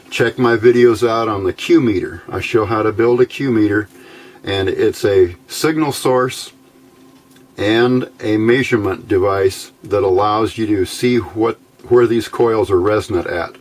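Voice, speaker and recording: A man presenting an informal technical tutorial in a halting, easygoing, folksy way. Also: fairly low pitch. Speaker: hobbyist presenter of electronics build videos.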